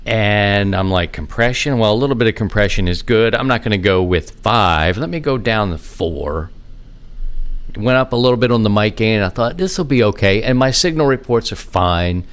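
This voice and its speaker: A man hosting a podcast, talking casually and informally in a rambling, self-deprecating monologue with animated asides. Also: fairly low pitch, normal speed, smooth. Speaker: podcast host. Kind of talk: casual rambling hobby monologue on amateur radio.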